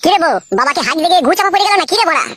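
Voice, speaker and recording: A brash young cartoon boyfriend played by a voice actor with a high, pitched-up voice. He rattles along very fast and swoops widely, crude and pushy in every phrase. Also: lively intonation, a bit bright. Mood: irritated. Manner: brash, foul-mouthed. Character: crude, pushy, money-minded young male lover. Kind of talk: crude comic cartoon dialogue.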